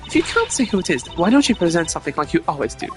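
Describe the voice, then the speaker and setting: A man's voice: on the high side, big pitch swings, racing, faint music behind, pitched above the performer's own voice. A man voicing a brash, teasing detective from a courtroom video game, with a casual, taunting manner. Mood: taunting, impatient.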